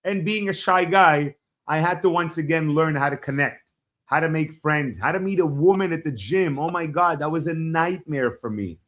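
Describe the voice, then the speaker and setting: A man in his forties from Israel, a Hebrew speaker, telling his life story as a podcast guest in a reflective, halting way. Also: a little high, fairly bright tone. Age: 40s. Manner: reflective, halting starts with frequent fillers. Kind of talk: personal storytelling in an interview.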